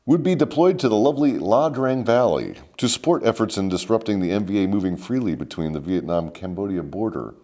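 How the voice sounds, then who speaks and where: A man narrates military history as a comedic bedtime story. His voice sits fairly low and swings widely in pitch, with a mock-formal, sardonic delivery peppered with salty slang. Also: animated, fairly quick, few pauses, mellow. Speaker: host and storyteller.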